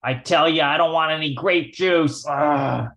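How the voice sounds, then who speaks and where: Man playing a sullen young hoodlum, slightly high voice. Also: measured pace, fairly bright tone. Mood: gruff refusal. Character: young male hoodlum nephew, sullen gambler in trouble. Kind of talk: amateur old-time radio drama reading.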